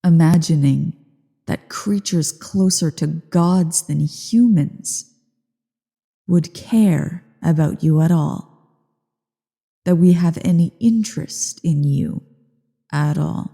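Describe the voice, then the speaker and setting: Woman voicing a slow, dark, husky dragoness. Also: full character voice. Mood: haughty, disdainful. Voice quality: low, husky, creaky. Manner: haughty, disdainful, deliberate. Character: ancient evil female dragon, haughty, bored, menacing. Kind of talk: ASMR roleplay, slow dramatic monologue. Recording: long cavernous reverb.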